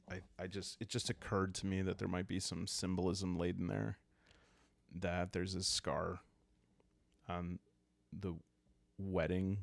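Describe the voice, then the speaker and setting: Low, slow, gravelly man musing aloud. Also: no room sound. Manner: hesitant, musing, thinking aloud. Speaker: solo roleplaying game host. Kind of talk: calm ASMR-style improvised storytelling.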